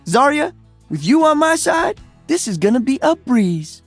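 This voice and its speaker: A man's voice: very high-pitched, sing-song, unhurried, fairly bright tone, dry recording, faint music behind, full character voice. An actor voicing an upbeat, cocky young male DJ hero in a video game, breezy, exuberant and slangy. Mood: upbeat, friendly. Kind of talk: video game character voice lines.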